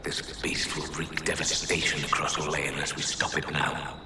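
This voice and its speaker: An actor playing a weary, solemn old elven hunter-mage in a video game, speaking in a grave, measured, formal way. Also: male voice, rumbling low, monotone, very noisy. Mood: solemn, resolute. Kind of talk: dramatic video game character acting.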